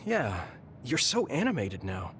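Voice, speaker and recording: A man playing an earnest, steady young soldier in a video game, speaking in a measured, kindly way. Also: medium pitch, a little hiss or hum. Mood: gentle, encouraging. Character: earnest young male soldier, kind, steady, easily exasperated. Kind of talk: English video game voice acting. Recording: music behind the voice.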